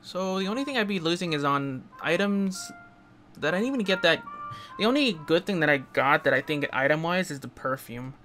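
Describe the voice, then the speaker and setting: A man casually commenting on his own gameplay, rambling, chatting to the enemies and breaking into sudden excited outbursts. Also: on the high side, fairly quick. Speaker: let's play gamer. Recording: loud game music behind.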